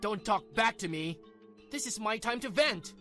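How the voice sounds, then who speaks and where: A man acting a domineering husband in a manga dub. His voice sits fairly high for a woman's range but low beside one, scornful and quick to blame. He sounds self-pitying and short-tempered, with music behind him. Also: animated, sharp tone. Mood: angry, blaming. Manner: scornful, blaming.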